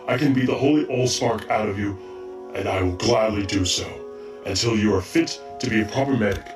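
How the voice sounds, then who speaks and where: A man's voice: lowish voice, a little fast, close to an everyday voice. A man voicing a haughty, commanding robot chief medic who drills recruits in an amateur audio drama. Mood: stern, commanding. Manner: stern, commanding, exacting. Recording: roomy, slightly distant mic, faint music.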